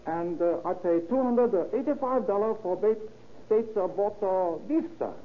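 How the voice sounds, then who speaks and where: A man's voice: high voice, normal speed, close to an everyday voice. An actor playing a polite, nervous Japanese immigrant man, speaking hesitant broken English with a Japanese accent in an old radio drama. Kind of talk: old-time radio acting. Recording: noisy, tinny old radio recording.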